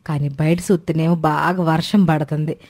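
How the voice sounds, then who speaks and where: A woman's voice: low-pitched, fairly even intonation, normal speed, a little mellow. A woman voicing a sly, sweet-talking elderly mother-in-law in a moral cartoon story. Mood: coaxing, plaintive. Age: older.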